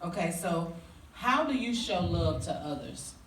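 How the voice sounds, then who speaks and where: A man interviewing a guest, briskly reading questions from a list. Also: on the high side, very animated, fairly bright tone, slight background noise. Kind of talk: casual Q&A interview. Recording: echoey room, far from mic.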